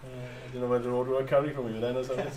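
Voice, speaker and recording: A man's voice: medium pitch, fairly even intonation, quick pace, darkish tone, recorded from a distance. A man hosting a livestream model build, chatting casually and laughing often, with lots of "you know what I mean". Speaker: livestream host. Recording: voice a bit off-mic with faint room noise.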